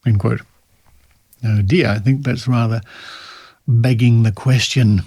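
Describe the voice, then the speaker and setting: A man presents as a podcast host, with a mid-pitched voice recorded very dry. He narrates and reads aloud in a measured, deliberate delivery, dropping in dry asides. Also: fairly even intonation, dark tone, breathy edge, no room sound. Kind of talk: scripted narration mixed with reading old articles aloud and commentary.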